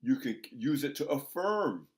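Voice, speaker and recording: A man in his sixties speaks at a middle pitch, with the everyday tone typical of men his age. He hosts a conversation and delivers his points with emphatic, preacher-like encouragement. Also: big pitch swings, a little slow. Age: 60s. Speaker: interview host. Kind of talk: conversational interview.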